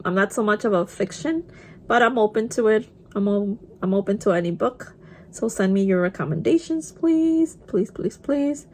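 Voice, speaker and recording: A woman's voice: mid-range voice, vocal fry, a little mellow. A young woman with a Spanish accent, a native Spanish speaker, chatting casually while doing her makeup and dropping in Spanish words. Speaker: vlogger. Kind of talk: casual get-ready-with-me chat.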